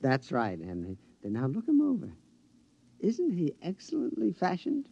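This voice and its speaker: An actor playing a henpecked husband with a gleeful secret scheme in an old radio drama, excitable, stammering and conspiratorial. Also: male voice, high-pitched, moderate pace, gravelly, breathy edge, exaggerated voice. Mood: smug, delighted. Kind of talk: mid-century radio drama acting. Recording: dull, noisy vintage radio recording.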